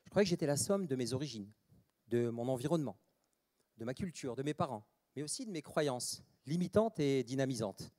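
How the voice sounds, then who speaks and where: A man from France speaks from a conference stage in a mellow, mid-pitched voice. He is deliberate and stresses his words dramatically, with long pauses between phrases. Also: animated. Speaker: mindset trainer and speaker. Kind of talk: short inspirational stage talk.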